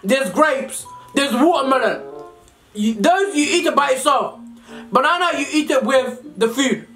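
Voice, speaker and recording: Young woman, high voice, playful mock outrage, eating on a webcam call, echoey room, faint music behind. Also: animated, unhurried, a bit bright. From Somalia. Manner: playful, mock-indignant teasing; talks while eating. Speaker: vlogger host. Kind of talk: casual video chat with a stranger. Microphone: webcam video call.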